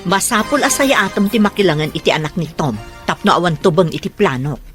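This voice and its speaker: A woman's voice: on the low side, animated, brisk, music in the background, natural voice. A woman playing a scheming, money-minded middle-aged mother in a Filipino radio drama.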